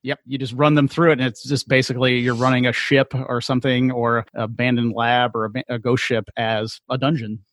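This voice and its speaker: A man's voice: medium pitch, level tone, a little fast, few pauses, fairly bright tone, no echo. A man hosting a podcast, talking casually in an affable, agreeable way. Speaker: podcast host. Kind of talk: casual interview chat.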